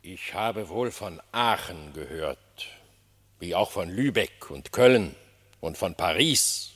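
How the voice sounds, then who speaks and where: A man's voice: sits low, expressive, slow pace, a bit bright, husky, full character voice. An actor playing a stern, distrustful king of the animals in a radio play, solemn and regal in his declamation. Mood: suspicious. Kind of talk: German radio play verse acting.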